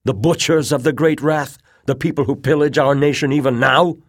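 Man acting a formal, disdainful colonel, raised pitch. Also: fairly even intonation, normal speed, dry recording, studio quality, lightly acted. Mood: indignant. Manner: brittle, formal, sorrowful gravity. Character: loyal, cold, formal, disdainful male colonel. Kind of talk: dramatized audiobook dialogue.

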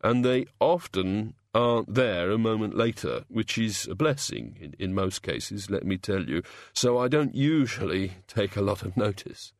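A man voicing Bilious, a queasy, pitiful male god of hangovers who groans and mumbles haltingly. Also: fairly low pitch, expressive, no echo, clean studio sound.

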